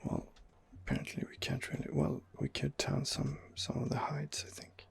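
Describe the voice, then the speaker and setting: A man doing ASMR gameplay commentary, musing to himself in a hesitant, unhurried way. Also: medium pitch, completely flat delivery, vocal fry, breathy edge. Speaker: video game player narrating his playthrough. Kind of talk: ASMR game commentary, murmured in-character musing.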